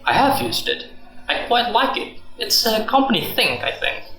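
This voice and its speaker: A man's voice: sits high, very animated, normal speed, pushed and bright, full character voice. A man playing a defensive, flippant middle-aged husband and office worker in a radio drama. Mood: flippant, rueful. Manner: exasperated, joking, evasive. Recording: roomy echo, music underneath.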